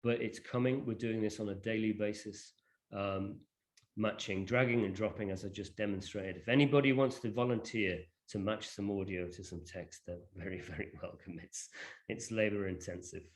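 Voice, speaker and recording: A man presenting a digital library informally to an online session, speaking in a halting, hesitant way. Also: on the low side, steady tone. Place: online video call.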